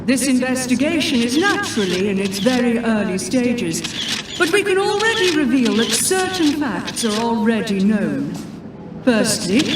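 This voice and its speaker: Echoey, distant woman playing a grave government spokesperson. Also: on the high side. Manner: formal, grave, declamatory. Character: grave, official government spokesperson at a press briefing. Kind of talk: formal scripted statement, radio play acting. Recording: echoey, distant, noisy press room sound. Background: press conference ambience with camera shutters.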